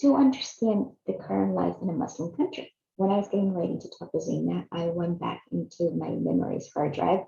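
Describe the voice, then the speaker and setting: Gravelly woman in her fifties. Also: fairly low pitch, fairly even intonation, a little fast, few pauses, mellow. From Chile. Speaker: video series host. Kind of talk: scripted personal narration. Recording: echoey, slightly dull room sound.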